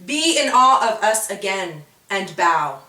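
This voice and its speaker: A woman's voice: mid-range voice, big pitch swings, slow pace, bright, forceful tone, exaggerated voice. A woman voicing proud, jealous magical creatures in a storybook, with dramatic, declamatory delivery. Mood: imperious. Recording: roomy, distant from the mic.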